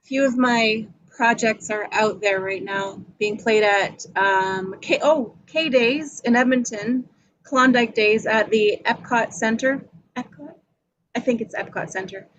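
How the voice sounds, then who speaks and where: Scattered, higher-voiced woman, dull dry audio. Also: a little slow, fairly bright tone, no echo. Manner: scattered, trailing off. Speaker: filmmaker and show helper. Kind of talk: casual chat.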